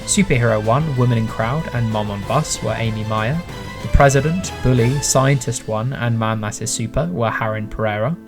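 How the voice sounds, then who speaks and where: A man's voice: medium pitch, normal speed. A man, the writer and director, reading scripted closing credits over guitar music. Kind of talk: scripted announcement.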